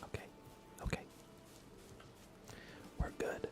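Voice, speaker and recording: A man softly thinking aloud in an unhurried, whispered ASMR style as he narrates a video game livestream. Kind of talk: ASMR gaming commentary, whispered and soft-spoken.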